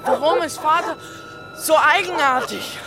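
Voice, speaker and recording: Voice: sits high, a little slow, over loud music. A young performer playing a troubled, questioning thirteen-year-old boy in a radio play, earnest and subdued. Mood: worried. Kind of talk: German radio play acting.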